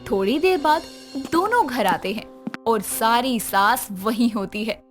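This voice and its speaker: A woman's voice: on the high side, lively intonation, a little slow, music in the background. A woman narrator telling a scripted domestic story.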